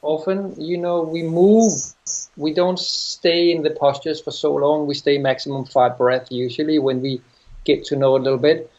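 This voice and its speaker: A middle-aged man with a Danish accent, answering interview questions as a yoga teacher, thoughtful and halting, often restarting phrases. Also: fairly high pitch, steady tone, darkish tone. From Denmark. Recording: dull lo-fi sound.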